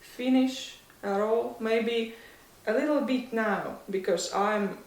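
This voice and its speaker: A young woman in her twenties with a Russian accent talks slowly and haltingly as an interview guest. She pauses often and laughs nervously. Her voice sits back from the mic in a roomy, echoing space. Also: on the high side. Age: 20s. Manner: hesitant, thoughtful, laughs often. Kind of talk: casual interview. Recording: echoey room, mic at a distance.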